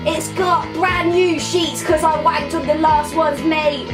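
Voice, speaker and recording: A woman's voice: high voice, flat delivery, unhurried, loud music behind the voice. A young woman doing a comic monologue in a slangy, swaggering tough-kid persona, filmed in a small bedroom from a camera a few metres away. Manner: slangy put-on tough-kid swagger, sing-song chants. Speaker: comedy vlogger. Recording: distant mic in a small room.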